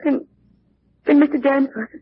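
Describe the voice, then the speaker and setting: An actress playing a distraught young grieving daughter, crying, in a 1940s radio drama. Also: female voice, sits high, steady tone, measured pace. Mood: distraught, accusing. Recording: muffled, noisy old radio recording.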